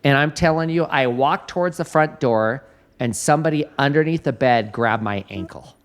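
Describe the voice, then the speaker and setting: A man in his fifties hosts a podcast, spinning animated stories and breaking into laughter often. His voice sits at an ordinary middle pitch for a man. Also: moderate pace. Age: 50s. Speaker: podcast host. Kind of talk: podcast interview.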